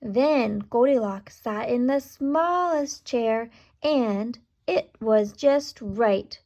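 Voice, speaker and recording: A woman reading a children's story aloud with deliberate, dramatic emphasis, speaking directly to young listeners. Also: a little high, animated, a little mellow. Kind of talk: expressive children's read-aloud with asides to listeners.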